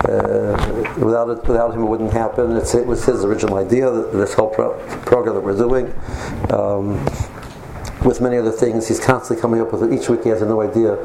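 A man gives an informal Torah lesson to a room full of listeners, his voice strained and worn after losing it. His delivery is low-key and halting, full of false starts. It comes through a speaker system into an echoey hall with heavy noise behind it. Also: little pitch movement, creaky. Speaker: rabbi giving a Torah lecture. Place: hall with an audience.

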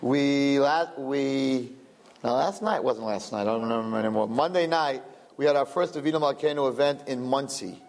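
Brooklyn-accented male host, chatty and rambling, self-joking, mid-pitched voice. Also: moderate pace, a little hiss or hum. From Brooklyn, USA. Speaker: event host. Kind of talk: live-streamed announcements.

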